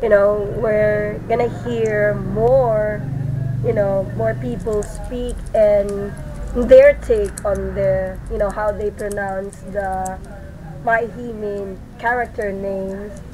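A woman with a Filipino accent on a podcast call, speaking very slowly and drawing out her words with a giggly edge. Clear background noise sits behind her voice. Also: medium pitch, steady tone. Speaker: podcast guest. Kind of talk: casual group chat over voice call.

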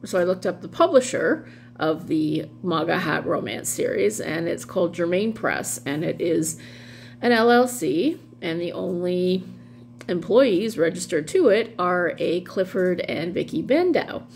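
A woman's voice: on the low side, expressive, measured pace, a little mellow. A middle-aged woman vlogging casually in a wry, amused way, wandering off into asides. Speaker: vlog host doing dramatic readings. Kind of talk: casual rambling commentary. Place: small room at home, indoors. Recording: clearly audible background hum noise.

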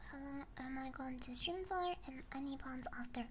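A woman whispering softly and casually to her viewers as an ASMR livestreamer, close to a binaural microphone. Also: high-pitched, moderate pace, a little hiss or hum. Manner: soft, hushed whispering.

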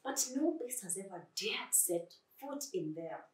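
A woman's voice: mid-range voice, expressive, a little slow, echoey room, distant mic, lightly acted. A woman voicing a nervous, hesitant male lion king in a storybook tale. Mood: ashamed, sad.

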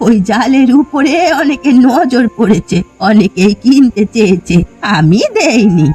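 A woman's voice: fairly high pitch, expressive, measured pace, mellow. A woman voicing a homely old village mother in a fairy-tale cartoon, stubborn and doting, exclaiming and fretting as she talks. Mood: earnest. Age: older. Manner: homely, exclaiming, fretful rustic talk. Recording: music behind the voice.

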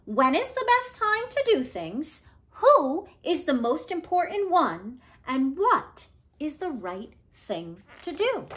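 A woman voicing an earnest, questioning young boy from a storybook, speaking with clear emphasis. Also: high-pitched, big pitch swings, slow, a bit bright, full character voice. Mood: eager, kind.

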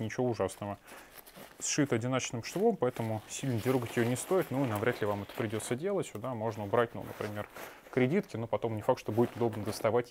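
A man's voice: medium pitch, noisy background. A man in his thirties reviewing a backpack in a small shop room on a lapel mic, blunt and sarcastic with crude asides. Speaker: shop owner reviewing gear. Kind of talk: product review.

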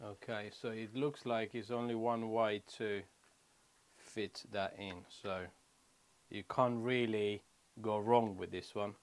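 A man's voice: lowish voice, steady tone, takes it slowly. A man in his thirties giving a casual hands-on product walkthrough, explaining step by step in short phrases as a vlogger. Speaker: motorcycle vlogger. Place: small wooden shed or garage room.

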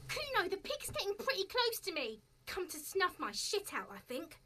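Shrill, defiant little street girl. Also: huge pitch swings, moderate pace, bright, forceful tone, exaggerated voice. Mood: mock-doomed. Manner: taunting, melodramatic. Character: foul-mouthed, theatrical young street kid girl, defiant.

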